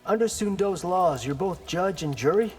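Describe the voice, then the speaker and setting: Defiant young warrior, reverberant. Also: male voice, high-pitched, lively intonation, moderate pace, a little mellow, faint music behind. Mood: defiant, wry. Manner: dry, defiant, self-assured. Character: defiant, wry young male crime-fighting warrior. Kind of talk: fighting game dialogue acting.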